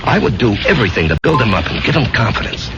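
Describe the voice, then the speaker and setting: Deep-voiced, self-doubting American husband, very noisy recording. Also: male voice, big pitch swings, gravelly. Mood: wounded, confiding. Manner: hesitant, earnest, halting with repeated words. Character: self-doubting salesman husband, earnest, wounded, pleading for respect. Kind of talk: 1970s radio drama acting. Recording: noisy, muffled 1970s radio broadcast recording.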